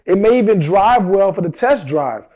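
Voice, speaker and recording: A man in his thirties giving dating advice straight to his viewers, his voice a little rough from a cold, earnest and emphatic. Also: high voice, animated, moderate pace, darkish tone. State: recovering from a cold. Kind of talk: direct-to-camera advice talk.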